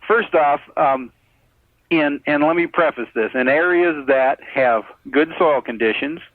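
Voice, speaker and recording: A fairly high-voiced American man speaks as a caller on a radio show. He lays out his case point by point in a measured, conversational manner. The line is narrow and muffled, like a telephone, with no room sound. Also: no room sound. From United States. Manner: measured, methodical, explanatory. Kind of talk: conversational debate.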